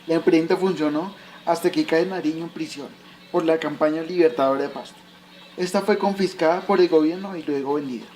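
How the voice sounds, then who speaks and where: A man's voice: fairly high pitch, steady tone, fairly quick, darkish tone, slight background noise, natural voice, poor audio. A man playing a patient father who explains history to his son in a recited, didactic way, in an educational radio drama. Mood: explanatory.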